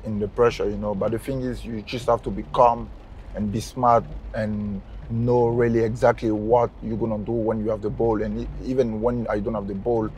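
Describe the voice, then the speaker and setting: A man's voice: lowish voice, moderate pace, slight background noise, rough recording. Laid-back young man chatting in a car. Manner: relaxed, laughs often, simple phrasing. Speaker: footballer guest. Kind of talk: casual chat. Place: inside a moving car.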